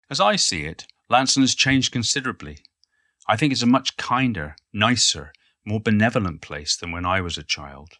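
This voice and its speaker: A man playing a reflective, modest older Cornish poet in an audio play monologue, reminiscing in a measured, literary and musing way. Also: fairly low pitch, a bit bright, no room sound, clean studio sound. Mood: wry, musing, then sober reminiscence.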